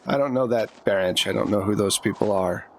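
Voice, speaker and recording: A man's voice: fairly low pitch, normal speed, slight background noise, pitched below the performer's own voice, close to an everyday voice. A man playing a smug, overconfident armed small-town thug in a tabletop game, speaking dismissively. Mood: smug menace. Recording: music behind the voice.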